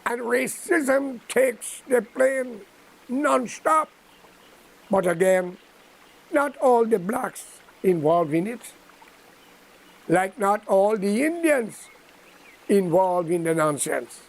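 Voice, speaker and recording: A man's voice: sits high, lively intonation, slow, gravelly, long pauses, low-quality recording. An older man with a Guyanese accent giving an impromptu political address in a preachy, admonishing, emphatic manner. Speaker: political commentator.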